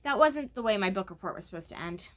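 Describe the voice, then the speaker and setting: A woman's voice: mid-range voice, expressive, quick pace, close to an everyday voice. A woman voicing an earnest, bold yet easily flustered eight-year-old girl in a storybook reading. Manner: loud, clear declaiming when performing.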